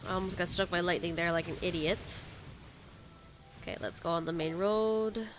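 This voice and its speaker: A woman's voice: lowish voice, moderate pace, soft background music. A woman gaming streamer, casually reacting to a game and reading dialogue aloud.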